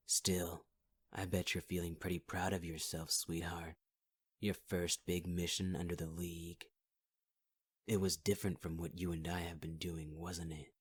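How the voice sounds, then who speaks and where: Guy doing a menacing fire villain, husky and deep, whispers close and dry. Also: no room sound. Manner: low, taunting, half-whispered. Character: scarred male villain, menacing, possessive fire-wielder. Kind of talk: ASMR audio roleplay.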